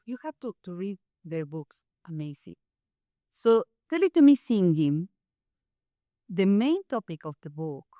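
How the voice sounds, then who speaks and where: A woman's voice: mid-range voice, darkish tone, no room sound, clean studio sound. A woman moderating a live panel discussion, chatty and enthusiastic, slipping between Spanish and English as she poses questions. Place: library event space.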